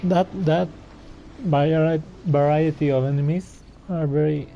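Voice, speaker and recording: A man giving casual gameplay commentary in a halting, hesitant way on a muffled microphone. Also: on the high side, steady tone, gravelly, over music. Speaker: gaming streamer. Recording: muffled microphone with faint background noise.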